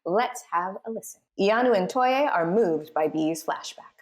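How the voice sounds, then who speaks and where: A woman's voice: mid-range voice, expressive, measured pace. A woman hosting as a voice director, explaining scenes and reading out stage directions. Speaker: host and voice director.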